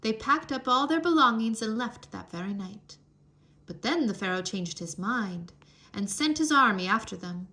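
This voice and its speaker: Bright, high-voiced woman reading a children's story. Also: lively intonation, normal speed. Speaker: storybook reader. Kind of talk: expressive scripted read-aloud for children.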